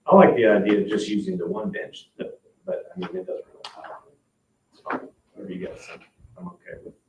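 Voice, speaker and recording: Man, lowish voice, easygoing board member, casual discussion, distant mic, faint noise. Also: sing-song, brisk, darkish tone, highs cut off. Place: indoor public board meeting room. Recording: echoey room, distant mic, background noise.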